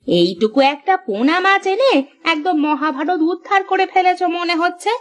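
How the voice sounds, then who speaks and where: A woman voicing a sharp-tongued, practical fisherman's wife in a cartoon, bossy and scolding. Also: very high voice, very animated, moderate pace. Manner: nagging, scolding. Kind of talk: Bengali cartoon story voice acting.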